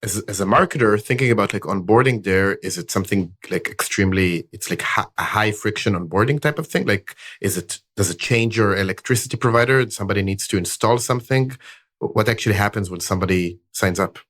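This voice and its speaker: A man's voice: fairly low pitch, a little fast, fairly bright tone. A man hosting a podcast, who stumbles and repeats words as he asks his questions. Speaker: podcast host. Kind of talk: casual interview talk.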